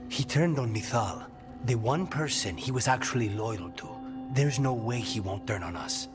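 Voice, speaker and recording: A man's voice: medium pitch, animated, moderate pace, close to an everyday voice. A man playing a brooding, blunt and distrustful adventurer in a video game cutscene. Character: brooding, hard-edged, distrustful man in fantasy party. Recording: music clearly behind the voice.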